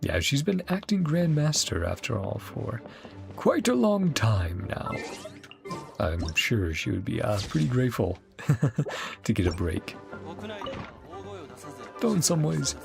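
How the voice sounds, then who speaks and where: A man's voice: medium pitch, vocal fry. A man casually reacting to a game, chatty, laughing often and reading lines aloud. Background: game music and dialogue.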